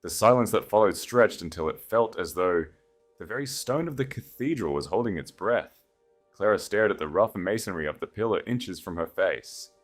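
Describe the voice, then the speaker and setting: Deep-voiced man narrating with expressive swings. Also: normal speed, faint music behind. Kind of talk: dramatic scripted story narration.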